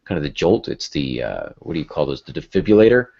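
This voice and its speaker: Very deep-voiced man on a casual podcast. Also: big pitch swings, fast, gravelly, darkish tone. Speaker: podcast host and writing teacher. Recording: dull lo-fi podcast audio.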